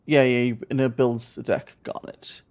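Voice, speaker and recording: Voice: mid-range voice, flat delivery, moderate pace, a little mellow. A streamer talking casually while playing a card game, thinking aloud with many fillers and repeated words. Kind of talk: casual live gameplay commentary.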